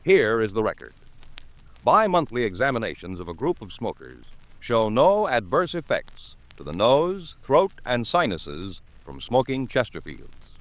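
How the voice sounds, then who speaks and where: A man's voice: medium pitch, huge pitch swings, a little slow, no echo. An American man announcing in a polished, emphatic 1950s radio style, with formal scripted delivery. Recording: vintage 1950s radio recording, music behind.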